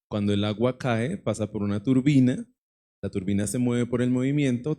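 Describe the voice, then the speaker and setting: A man's voice: on the low side, dark tone. A man from Colombia, an engineer, giving a plain technical explanation to a church congregation.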